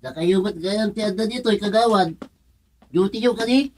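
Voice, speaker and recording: A woman's voice: medium pitch, normal speed, darkish tone, lightly acted, low-quality recording. A woman in an Ilocano radio comedy drama playing a teasing, gossipy woman at a village office.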